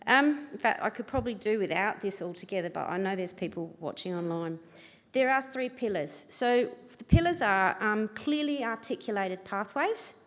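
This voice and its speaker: Loud Australian woman in a reverberant hall. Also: medium pitch, lively intonation, normal speed. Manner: loud, chatty, self-deprecating presenter. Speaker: government education manager. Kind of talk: conference presentation. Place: large convention hall. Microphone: lectern microphone.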